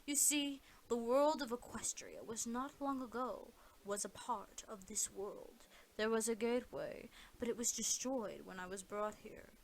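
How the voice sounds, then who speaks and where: A woman's voice: on the high side, poor audio. A woman voicing a powerful, vengeful male sorcerer villain in an audio play, boastful and menacing, drawing out the words. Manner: menacing, grandiose, drawn-out delivery.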